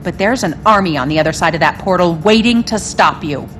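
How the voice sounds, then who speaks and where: A low-voiced, defiant female officer. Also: expressive, brisk, a bit bright. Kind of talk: video game cutscene acting. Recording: music behind the voice.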